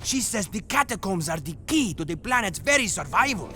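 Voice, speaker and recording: An actor voicing a small talking bird, an excitable, fussy messenger in a video game. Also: male voice, sits high, brisk, faint music behind, full character voice. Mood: urgent, wary. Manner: squawky, excitable. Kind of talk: video game creature acting.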